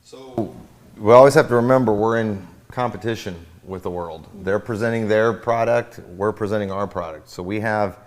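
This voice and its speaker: Earnest pastor with a lowish voice. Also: male voice, normal speed. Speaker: pastor on a panel. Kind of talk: casual advice talk.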